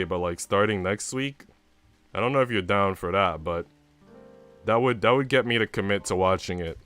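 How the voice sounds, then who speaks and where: A man's voice: fairly low pitch, a bit bright, clean tone. A man livestreaming in a casual, rambling, informal chat style, swearing freely. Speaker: live streamer.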